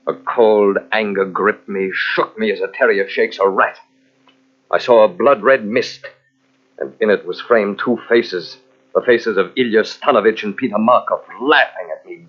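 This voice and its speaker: An actor playing a vengeful, jealous army captain in old-time radio mystery style, with a brooding, menacing, melodramatic delivery. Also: male voice, lowish voice. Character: vengeful, coldly jealous army captain narrating his tale. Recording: muffled old radio show from cassette.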